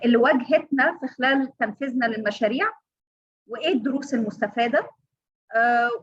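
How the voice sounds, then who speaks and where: A woman with an Egyptian Arabic accent giving an online training lecture, halting, with many fillers and restarts. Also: sits high, fairly bright tone, lo-fi sound. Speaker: workshop trainer. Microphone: online call audio.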